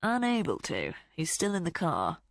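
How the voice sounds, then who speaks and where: Mocking, unhurried villain man with lively swings in pitch , voiced by a woman narrator. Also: no echo, clean studio sound. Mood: taunting. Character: menacing smooth male villain, calm and taunting.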